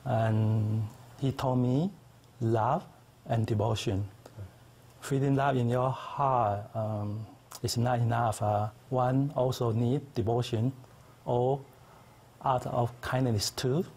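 A middle-aged man whose first language is Chinese, speaking as a guest in a TV studio interview with earnest, simple phrasing and thoughtful pauses. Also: mid-range voice, fairly even intonation, darkish tone, slightly rough. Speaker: interview guest, tai chi teacher. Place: local TV studio set.

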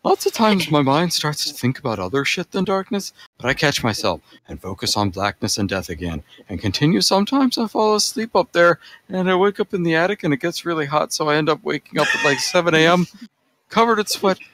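A man's voice: fairly high pitch, big pitch swings, a little fast, a bit bright, a little hiss or hum. A man reading amateur writing aloud for comedy, with an earnest, casual delivery. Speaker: podcast reader.

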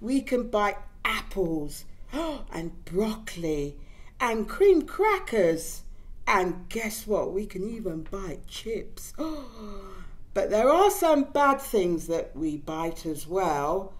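A middle-aged woman reading a picture book aloud to young children, expressive and gently teacherly, putting questions to them. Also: mid-range voice, takes it slowly. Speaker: children's story time reader. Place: indoors in front of a decorated backdrop.

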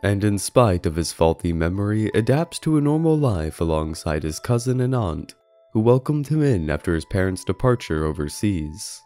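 Low, dark-voiced man narrating. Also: sing-song, normal speed. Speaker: video essay narrator and reviewer. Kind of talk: scripted narration. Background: background music.

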